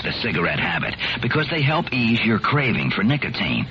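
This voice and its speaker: A male announcer reads a scripted commercial with very lively intonation. The old radio recording is muffled and noisy. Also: on the low side, a little fast.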